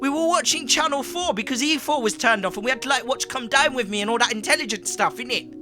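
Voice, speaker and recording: A man voicing an angry mob of slangy voters who shout and tag lines with "innit". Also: extremely high pitch, fast, over music, pitched above the performer's own voice. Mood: accusing.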